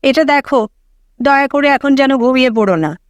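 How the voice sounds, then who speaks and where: Woman voicing a guarded young mother, high-pitched. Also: animated, moderate pace, no echo, dull audio. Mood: coaxing. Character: reserved, flustered young mother travelling with small daughter. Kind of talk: audiobook character dialogue.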